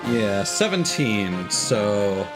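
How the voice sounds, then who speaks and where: A man's voice: fairly low pitch, very animated, drawn-out words. A man giving casual video game commentary as a live streamer, full of joking, playful asides. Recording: game music behind the voice. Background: video game music.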